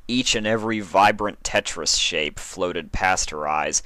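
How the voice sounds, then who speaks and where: A man's voice: low-pitched, a little slow, sharp tone, no room sound. A man narrating a written story as a dramatic reading. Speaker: fan fiction reader.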